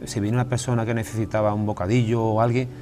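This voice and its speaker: Halting Andalusian man, faint room hum. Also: fairly low pitch, flat delivery, warm tone. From Andalusia, Spain. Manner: halting, frequent restarts and repeated words. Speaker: guest writer. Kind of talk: casual interview conversation. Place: television studio.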